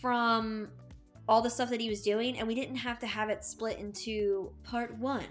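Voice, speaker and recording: A woman with a slightly high-pitched voice co-hosts a casual review show. She talks conversationally and with emphasis, readily agreeing as she goes. Faint music sits behind her voice. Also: little pitch movement, a little slow. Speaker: co-host of an audiobook review show. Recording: music under the voice.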